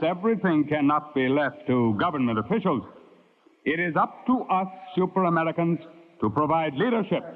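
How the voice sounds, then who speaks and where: A male actor plays a scheming chairman in a vintage radio thriller. He speaks in pompous, formal, measured phrases, pitched a little high for a man. The sound is narrow and muffled, like an old broadcast. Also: lightly acted. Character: scheming male chairman of a bogus patriotic society. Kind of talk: 1940s-50s radio drama acting.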